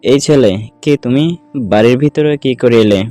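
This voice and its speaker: A man's voice: mid-range voice, moderate pace, warm tone, no echo, faint music behind, close to an everyday voice. A man playing kindly, watchful men of a household in an audio story. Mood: suspicious. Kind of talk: Bengali audio story acting.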